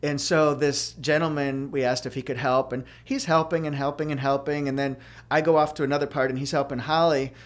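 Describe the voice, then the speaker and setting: A man's voice: fairly high pitch, fairly even intonation, brisk. Middle-aged male podcast host with a youthful voice, loose storyteller. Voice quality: youthful-sounding voice. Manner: chatty storyteller with many fillers and restarts. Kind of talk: casual chat.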